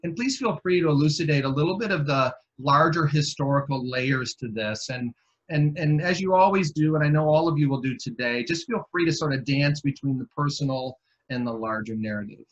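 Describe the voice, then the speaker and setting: A man's voice: fairly high pitch, brisk, a little hiss or hum. A man moderating a webinar panel, reflective, thinking aloud as he sets up questions. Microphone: video call. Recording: dull lo-fi video call audio.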